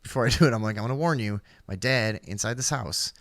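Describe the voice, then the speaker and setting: A man's voice: mid-range voice, quick pace, a bit bright, dry recording, studio quality. A man co-hosting a live stream, telling stories casually with slangy, profane banter. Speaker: co-host of a live stream show. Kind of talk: casual comic storytelling and banter.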